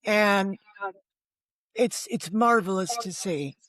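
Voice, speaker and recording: A woman's voice: medium pitch, takes it slowly, fairly bright tone, no echo. Older American woman, candid storyteller. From Connecticut, United States. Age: older, about 70. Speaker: novelist, interview guest.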